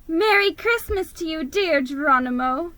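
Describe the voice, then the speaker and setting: A woman's voice: very high voice, lively intonation, normal speed, sharp tone, no echo, pitched above the performer's own voice, heavily acted, rough recording. A woman voicing a glamorous, sociable female rat singer in a storybook, gracious and singsong. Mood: gracious, cheerful.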